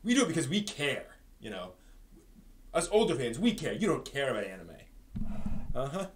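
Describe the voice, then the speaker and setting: A man hosting a live stream with casual, rambling commentary, often halting and repeating his words. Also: mid-range voice, sing-song, noisy background.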